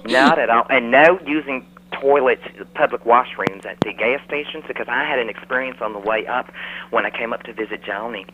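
A man's voice: mid-range voice, brisk, phone-quality sound, slight background noise, close to an everyday voice. A man voicing a chatty, folksy middle-aged Southern mother with a Southern US accent who gushes and rambles on a radio call. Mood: gushing. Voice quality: gushing, folksy, rambling, says "y'all". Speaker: radio caller. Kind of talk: phone-in radio chat.

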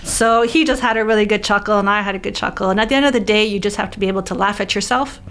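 A woman's voice: mid-range voice, fairly even intonation, racing, a bit bright. A woman guest in a casual interview, encouraging and telling stories.

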